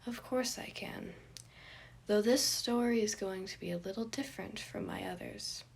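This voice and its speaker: A wry older male guardian played by a woman, composed and formal. The phrasing is measured and gently teasing, in the manner of a storybook character. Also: medium pitch, huge pitch swings, gravelly.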